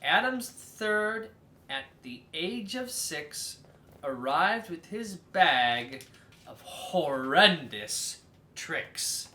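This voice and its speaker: A person reading a children's story aloud as host, in a theatrical, drawn-out way with emphatic stresses. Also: medium pitch, expressive, pushed and bright, reverberant.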